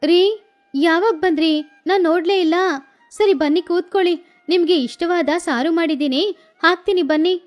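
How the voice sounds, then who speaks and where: A woman's voice: very high voice, moderate pace, darkish tone. Woman voicing a tart young daughter-in-law. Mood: pleading.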